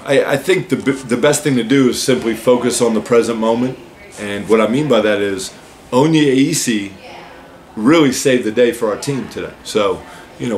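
A man in his forties, a head basketball coach, calmly answering postgame questions at a press-room table, explaining with many "uh" and "so" openers. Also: medium pitch, slight background noise. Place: press room with a team backdrop, seated at a table.

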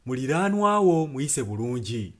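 A man's voice: mid-range voice, sing-song, normal speed, a loud crowd. A Ugandan man interpreting a sermon earnestly, phrase by phrase. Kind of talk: consecutive sermon translation. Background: music.

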